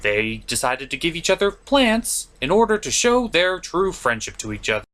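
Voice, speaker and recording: A man's voice: fairly high pitch, big pitch swings, normal speed, a bit bright. A man hosting a comedic film review, narrating with theatrical, exaggerated delivery. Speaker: show host and reviewer.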